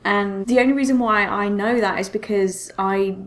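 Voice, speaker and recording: A woman's voice: medium pitch, steady tone, moderate pace. A woman co-hosting a podcast in a casual conversational style. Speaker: podcast co-host. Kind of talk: casual podcast chat about games.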